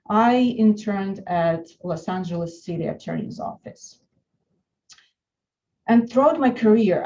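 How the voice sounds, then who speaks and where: A woman from Russia with a Russian accent, an attorney giving an earnest, deliberate webinar presentation with frequent restarts. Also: fairly low pitch, dark tone, lo-fi sound.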